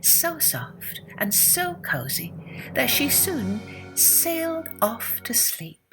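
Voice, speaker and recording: A woman's voice: high voice, very animated, slow, over music, rough recording. A woman narrating a children's fairytale as a podcast storyteller, expressively and with dramatic emphasis.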